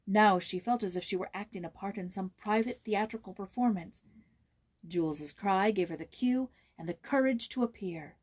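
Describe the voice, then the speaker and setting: Female audiobook reader, slightly husky tone, expressive and theatrical storytelling delivery. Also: medium pitch, normal speed. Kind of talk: dramatic scripted storybook narration.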